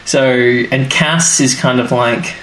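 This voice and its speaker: A man's voice: mid-range voice, fairly even intonation, takes it slowly, a bit bright. A man co-hosting a podcast, chatting casually and recounting stories in a rambling way with plenty of restarts. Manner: rambling, restarts and fillers while recounting plots. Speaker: podcast co-host. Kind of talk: casual chat. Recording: roomy sound with faint background noise.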